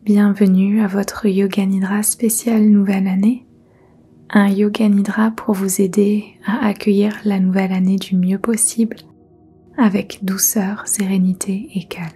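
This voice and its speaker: A woman leading a guided yoga nidra meditation in a soft, breathy near-whisper, soothing and gentle. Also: medium pitch, little pitch movement. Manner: soothing, gentle, unhurried instruction.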